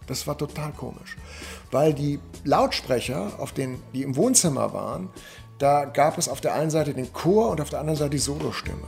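A man's voice: on the high side, animated, moderate pace, vocal fry, a little mellow, faint music behind. A man telling personal memories in an interview, anecdotally, correcting himself as he goes. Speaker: theatre dramaturg, interviewee.

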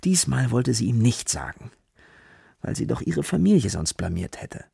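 A man's voice: mid-range voice, sing-song, moderate pace, vocal fry, slight background noise. A man narrating a children's radio play as its storyteller, with guitar music behind him. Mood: storytelling. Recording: loud guitar music under the voice. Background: plucked guitar music.

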